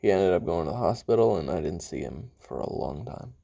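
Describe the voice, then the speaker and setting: A man playing a brooding, hot-tempered assassin in an audio roleplay, recounting his memoirs in a halting, casual, profane confessional with a gravelly, husky voice. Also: sits low, expressive, warm tone, exaggerated voice. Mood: brooding, tense. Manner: halting, casual, profane, with sighs and trailing pauses.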